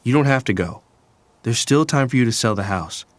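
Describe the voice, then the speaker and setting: A man's voice: medium pitch, lively intonation, brisk, no echo, natural voice. A young man playing a disgruntled son in an audio drama, narrating in the first person in a casual, slangy, conversational way. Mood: pleading. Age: young adult. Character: disgruntled young son from a troubled wealthy family. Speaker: actor and narrator.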